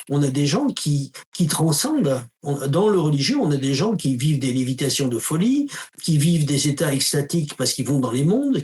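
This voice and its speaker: A man with a fairly high voice talks casually in an interview. He is a spiritual teacher who tells stories, acting out the dialogue with lively asides. His tone stays informal and direct. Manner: storytelling, acts out quoted dialogue with emphatic asides; uses informal tu to the listener.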